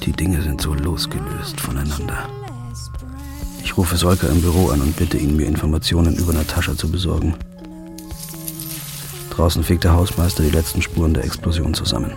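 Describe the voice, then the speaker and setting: A man with a very low voice narrates in a flat, brooding noir manner. He keeps his delivery understated and heavy, with clear music running behind. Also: a little fast, long pauses. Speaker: narrator and lead. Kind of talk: first-person noir narration.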